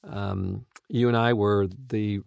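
A man hosting a podcast in casual studio chat, speaking in a halting, earnest and enthusiastic way. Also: lowish voice, steady tone, vocal fry, darkish tone, clean tone, no room sound, highs cut off. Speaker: podcast host. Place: recording studio.

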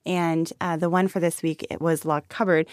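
A woman's voice: low-pitched, level tone, moderate pace, no room sound, studio quality. A woman co-hosting a casual devotional chat, speaking informally with youthful, conversational phrasing. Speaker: podcast co-host.

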